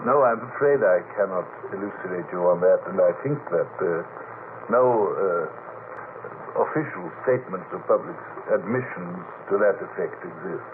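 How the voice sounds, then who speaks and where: A man's voice: deep voice, moderate pace. Man on a noisy, tinny old radio broadcast. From Sweden. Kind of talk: anecdotal radio panel talk.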